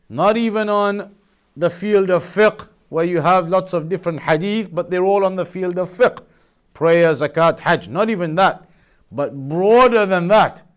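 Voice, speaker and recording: Higher-pitched man teaching a religious lesson. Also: clear, resonant tone. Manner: measured explaining, repeats and rephrases key points. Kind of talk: Islamic studies lesson, explaining to students.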